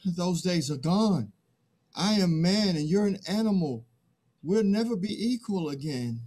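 A man as a slow, despairing everyman. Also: high voice, lively intonation, mellow. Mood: weary, doubtful. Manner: halting, anguished. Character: Man, weary despairing human pleading for help. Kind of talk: dramatic play reading. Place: video call, virtual background.

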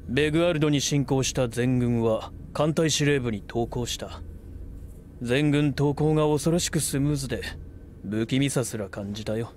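An actor playing a measured, authoritative senior federation officer in a game cutscene. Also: male voice, mid-range voice, darkish tone, a little hiss or hum, lightly acted.

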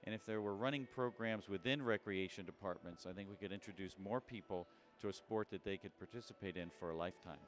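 A man with a fairly low voice gives a measured, earnest interview answer. Faint music plays beneath him. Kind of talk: documentary interview.

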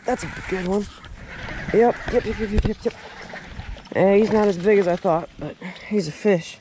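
A man's voice: high-pitched, normal speed, warm tone. A young American man giving casual, folksy fishing vlog commentary with repeated short phrases, outdoors on a boat. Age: young adult. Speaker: fishing vlogger. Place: outdoors on a small boat on a lake. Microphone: action camera, worn or at arm's length. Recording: boat and outdoor background noise.

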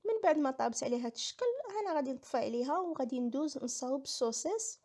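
A woman's voice: high-pitched, lively intonation, very fast, dark tone. A woman with a Moroccan accent giving a casual recipe voice-over, explaining each step and repeating herself. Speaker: home cooking vlogger.

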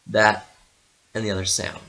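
A man narrating a literary story dramatically, with theatrical, deliberate phrasing. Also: fairly low pitch, little pitch movement, sharp tone, poor audio.

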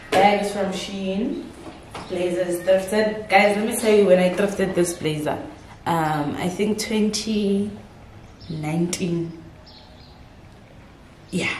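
A woman's voice: medium pitch, a little hiss or hum. A young woman, chatting casually to camera as a vlogger and mixing in Zulu words. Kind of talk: casual vlog chat to camera. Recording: echoey room with loud background music.

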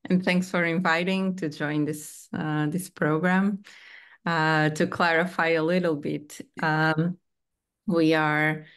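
Low, smooth-voiced woman from Portugal. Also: level tone, takes it slowly. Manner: careful, with restarts. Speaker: program director. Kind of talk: panel self-introduction. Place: home room. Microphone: video call webcam.

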